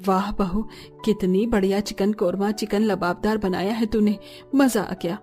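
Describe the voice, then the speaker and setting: A woman's voice: medium pitch, steady tone, normal speed, mellow, soft background music, voice pushed down, natural voice. A woman voicing a bossy, fussing middle-aged mother-in-law in a cartoon, demanding and coaxing. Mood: pleased.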